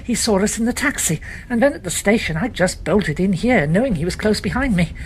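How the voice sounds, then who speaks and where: A woman's voice: medium pitch, expressive, brisk, a little hiss or hum, pitched above the performer's own voice, lightly acted, rough recording. A woman voicing a trim young woman with a faint foreign inflection, speaking in a breathless, guarded way. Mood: hurried.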